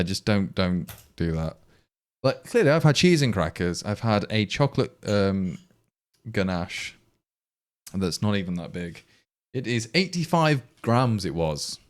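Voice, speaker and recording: Man, English East Midlands accent, casual stream chatter, rambling, restarts a lot, lowish voice, very animated. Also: unhurried, long pauses. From England. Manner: rambling and exasperated, many restarts. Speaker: livestream programmer. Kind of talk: casual chat to stream viewers.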